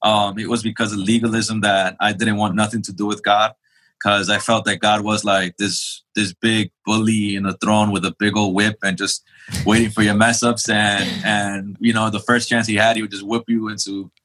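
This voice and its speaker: A man's voice: fairly low pitch, little pitch movement, normal speed, a bit bright, rough recording. A young adult man from Queens, New York, a pastor telling his testimony in a casual interview, talking conversationally with fillers and restarts. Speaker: pastor, podcast guest.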